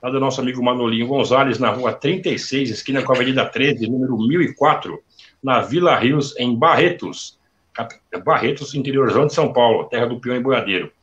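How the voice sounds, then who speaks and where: A man's voice: medium pitch, brisk, fairly bright tone, lo-fi sound. A man hosting a live online interview, chatting freely and reading out sponsor plugs before his questions. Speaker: interview host. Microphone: video call.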